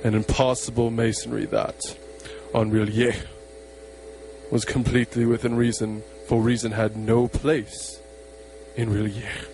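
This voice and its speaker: A man narrating an amateur horror radio play in a solemn, measured, portentous way. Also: medium pitch, flat delivery, a little mellow, slight background noise, rough recording.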